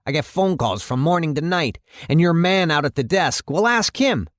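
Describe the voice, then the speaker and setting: A male narrator plays an angry city mayor in an audiobook drama. He blusters and hectors, his voice pushed up high and insistent. Every phrase lands with indignant emphasis, in a close, dry recording. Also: animated, normal speed, no room sound, close to an everyday voice.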